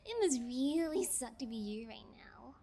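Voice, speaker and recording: Woman acting a slow, high-voiced sadistic kidnapper. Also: background noise, heavily acted. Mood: gloating. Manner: teasing, sing-song menace with drops into whispers. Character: sadistic, playful female yangire kidnapper, sociopath. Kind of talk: ASMR audio roleplay, intimate monologue.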